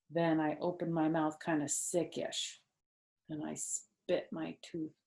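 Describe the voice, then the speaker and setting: Older woman, storytime reader, slightly low pitch, expressive children's narration. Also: gravelly. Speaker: storytime reader, former first-grade teacher.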